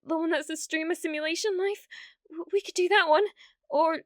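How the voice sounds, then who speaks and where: A British woman playing an obsessive, needy young female streamer in an ASMR roleplay, pleading and stammering, swinging between breathy whispers and outbursts. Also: very high voice, steady tone, brisk, dry recording, studio quality. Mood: frantic, eager. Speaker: ASMR voice actress.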